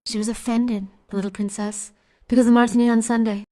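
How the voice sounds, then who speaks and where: A woman acting as a resentful housekeeper in a radio crime play. She talks fast and defensively, in a soft, mellow tone. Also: on the high side, little pitch movement, close to an everyday voice. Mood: defensive, snippy. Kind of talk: crime audio drama dialogue.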